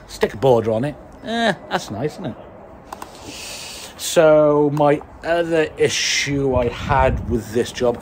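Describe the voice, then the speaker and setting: A man's voice: mid-range voice, a little hiss or hum. Chatty Welsh man in a garden. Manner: chatty, self-mocking, rambling asides. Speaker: landscaper. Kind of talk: casual how-to vlog. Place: outdoor garden beside a house. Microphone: handheld phone, later a plugged-in mic.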